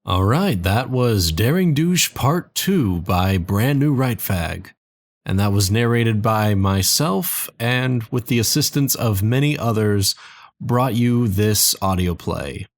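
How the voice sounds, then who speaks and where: A man in his early twenties, tired with a sore throat and slight cold, chatting casually and haltingly as the narrator of a fan audio play. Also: on the low side, animated, no echo. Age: early 20s. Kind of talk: casual, rambling outro chat.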